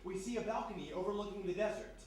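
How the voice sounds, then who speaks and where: A man's voice: high voice, fast talker. A man reading scripted scene-setting narration for a radio drama. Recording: very echoey live room, distant mic, noisy.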